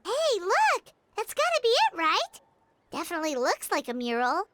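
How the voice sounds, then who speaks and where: A woman's voice: very high voice, huge pitch swings, moderate pace, very noisy, exaggerated voice. A woman voicing a small, cheerful, confident childlike fairy companion in a video game, chatting casually and expressively. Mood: chatty, curious. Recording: loud game music behind.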